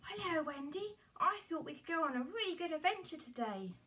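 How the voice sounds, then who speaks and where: Woman playing an excitable high-pitched adventurous boy. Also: a little fast, distant mic, a little hiss or hum, full character voice. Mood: eager, inviting. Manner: excitable, whooping, encouraging. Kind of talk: children's puppet-show storytelling.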